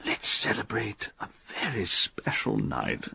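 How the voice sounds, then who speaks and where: A suave aristocratic bachelor in a radio drama, evasive and prone to stammering. His pitch swings very widely, over a clearly noisy background with clicks. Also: wildly swooping pitch, takes it slowly, pushed and bright, heavily acted. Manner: smooth, stammering when cornered. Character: suave upper-class male baronet, evasive womanising bachelor.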